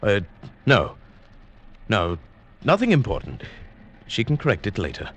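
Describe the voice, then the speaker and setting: An actor playing a scheming professor who narrates his own wife-murder, in a smooth, quietly gloating 1940s radio drama style. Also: lowish voice, huge pitch swings, measured pace, background noise, heavily acted. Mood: guarded. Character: scheming middle-aged male professor, cold wife-murderer. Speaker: narrator and lead character. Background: music.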